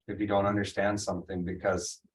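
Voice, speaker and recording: A man's voice: on the low side, little pitch movement, fairly quick, recorded from a distance. A man on a school board, talking in a casual, blunt and encouraging way. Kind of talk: casual remarks at a board meeting.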